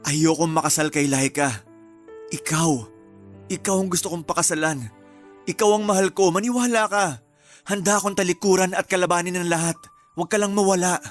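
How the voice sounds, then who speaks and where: A man's voice: high voice, brisk, long pauses, lightly acted. An actor plays a lovestruck groom who is desperate to keep his lover. He speaks with earnest, pleading intensity in the style of a radio romance. Faint music plays behind his voice. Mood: impassioned, urgent. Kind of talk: Filipino radio-style romantic drama acting.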